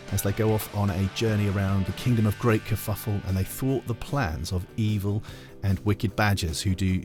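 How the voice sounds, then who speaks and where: A man reading a children's story aloud like a teacher, with expressive, dramatic emphasis. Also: fairly low pitch. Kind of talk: animated storybook narration.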